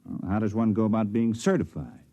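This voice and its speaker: An actor playing a polite, independent-minded space volunteer, hesitant and questioning, in 1950s radio drama style. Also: male voice, lowish voice, fairly even intonation, brisk, highs cut off. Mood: curious, uneasy.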